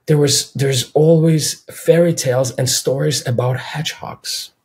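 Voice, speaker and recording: A man in his forties from Belarus, a native Russian speaker with a Belarusian accent, answering interview questions over a video call in a measured, thoughtful way. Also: mid-range voice, fairly even intonation, a little mellow. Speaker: film director guest. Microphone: video call, webcam.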